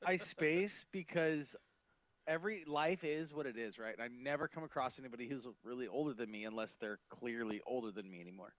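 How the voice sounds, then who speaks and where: A man's voice: medium pitch, brisk, a bit bright, dry recording. Chatty man on dull, dry audio. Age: around 40. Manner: chatty, keeps asking "you know what I mean?". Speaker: podcast co-host guest. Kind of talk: casual podcast chat.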